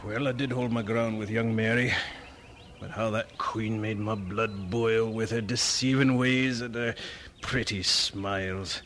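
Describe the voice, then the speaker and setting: An actor playing a stern, proud Scottish preacher in a radio drama, speaking with a Scottish accent. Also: male voice, fairly low pitch, level tone, a little slow, fairly bright tone, a little hiss or hum. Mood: indignant.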